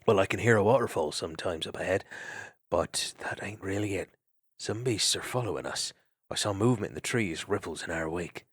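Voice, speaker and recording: Man as wary otter boatman, hushed, folksy. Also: brisk, slightly rough, no room sound, studio quality. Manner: low, urgent, homespun. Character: watchful rough-spoken male otter.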